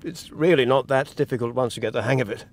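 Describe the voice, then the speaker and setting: A man's voice: mid-range voice, quick pace, slight background noise, close to an everyday voice. A man playing a genial, proud male rabbit guide in a radio drama, breezy and boastful.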